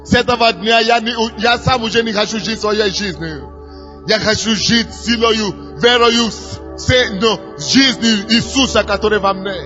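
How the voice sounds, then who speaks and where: A man's voice: sits high, measured pace. A man preaching. He grows fervent, repeats his phrases and leads the people in prayer. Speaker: pastor. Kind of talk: sermon leading prayer. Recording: dull lo-fi recording in a reverberant hall with music.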